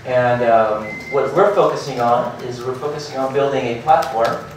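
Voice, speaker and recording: A man in his thirties presents his startup to a seated meetup crowd, hurrying and stumbling over fillers and repeated words. His voice sits at an ordinary middle pitch. The recording is picked up from across a very reverberant room, with faint room noise behind. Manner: hurried, filler-heavy, restarts and repeats words. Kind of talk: conference talk. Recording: very echoey room from far off.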